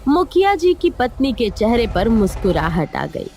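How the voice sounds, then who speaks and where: Animated, high-voiced woman storyteller. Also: moderate pace. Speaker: storyteller, narrator. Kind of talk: scripted narration of a cartoon moral story.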